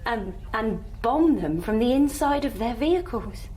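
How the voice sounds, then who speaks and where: An actress with a British accent playing an anxious, resourceful woman surviving an alien invasion, speaking in an urgent, halting, pragmatic way in an audio drama. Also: a little high, lively intonation, mellow. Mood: tense, urgent. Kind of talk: naturalistic audio drama acting.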